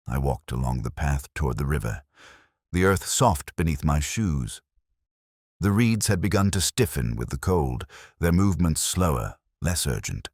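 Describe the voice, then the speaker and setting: A very deep, dry-sounding older male detective, voiced by a man, weary and melancholy. He narrates a literary radio mystery in a sombre, measured way. The pitch moves expressively within that low register. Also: slightly rough, no room sound, full character voice.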